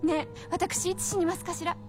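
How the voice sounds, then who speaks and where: An actress playing a gravely ill, devoted wife in a radio drama, serene yet eager, with genteel old-fashioned polite speech. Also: female voice, extremely high pitch, little pitch movement, a little fast, music in the background. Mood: eager, fervent.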